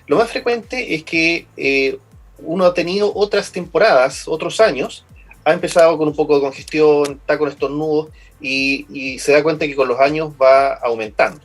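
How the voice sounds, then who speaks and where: A Chilean man speaking as a medical guest, his voice husky and a little breathy. He explains things patiently and often repeats words while he searches for the right phrase. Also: a little high, normal speed, soft background music. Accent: Chilean Spanish. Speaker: pulmonologist guest. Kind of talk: explanatory interview answers.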